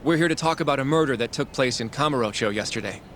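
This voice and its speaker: An actor playing a polite young legal associate. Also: male voice, medium pitch, fast talker, fairly bright tone, a little hiss or hum, close to an everyday voice. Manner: polite, eager, slightly anxious. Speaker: law office assistant. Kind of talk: game cutscene voice acting.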